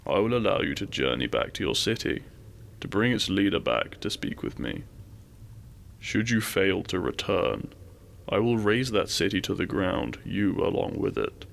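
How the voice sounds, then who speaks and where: A man playing an imperious, possessive dragon king in a romantic fantasy audio roleplay, speaking with formal, commanding courtesy and a gravelly voice. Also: low voice, sing-song, a bit bright, heavily acted. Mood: commanding, threatening. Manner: formal, commanding, deliberate menace with seductive courtesy.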